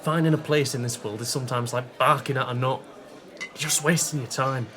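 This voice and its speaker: A man's voice: medium pitch, steady tone, a little fast, background noise, natural voice. An actor playing a cheeky but kindly young working-class Victorian man with an English accent, informal and slangy, in a period radio drama. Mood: earnest.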